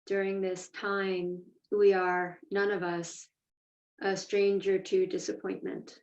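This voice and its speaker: A woman leads a guided meditation at a medium pitch. She speaks deliberately, leaving space between short phrases. The recording is dull and roomy, with her voice set back from the microphone. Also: little pitch movement, a little mellow. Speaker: meditation teacher. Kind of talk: guided meditation, slow instruction. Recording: echoey room, distant mic, dull sound.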